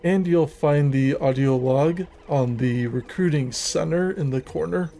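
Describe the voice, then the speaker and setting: Male game guide narrator, higher-pitched, soft mellow tone, measured, methodical, instructional delivery. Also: gravelly, soft background music. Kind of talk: scripted walkthrough commentary.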